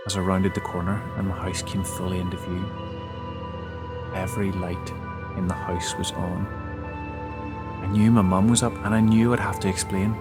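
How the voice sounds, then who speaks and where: A man giving a dramatic first-person narration of a scary story, with halting, emotive delivery. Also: on the low side. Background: background music.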